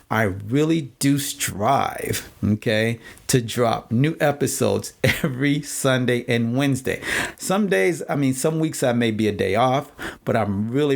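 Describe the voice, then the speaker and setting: A man hosting a podcast, giving casual advice, with many fillers and "all right?" check-ins, his voice going hoarse. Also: medium pitch. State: losing his voice. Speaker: podcast host.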